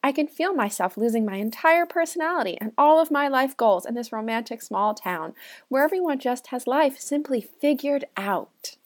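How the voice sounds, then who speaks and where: Woman playing a gushing, high-voiced romance heroine. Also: lively intonation, normal speed. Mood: gushing, earnest. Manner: earnest, over-sweet. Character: gushing, lovestruck career woman in holiday-romance parody. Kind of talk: parody radio play acting.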